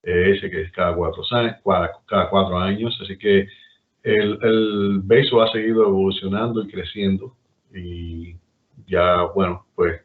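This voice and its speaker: A man's voice: low voice, steady tone, a little slow. A middle-aged Cuban man with a Cuban accent, telling stories in a rambling casual chat. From Cuba. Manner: storytelling, rambling with restarts. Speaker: guest. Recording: tinny phone-like line.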